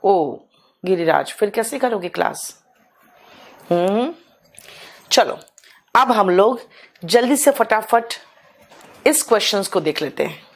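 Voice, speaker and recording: A woman teaching English in a live online class, hoarse for days, clearing her throat and calling her students "beta" in a motherly, coaxing way. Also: mid-range voice, animated, slow, pauses often.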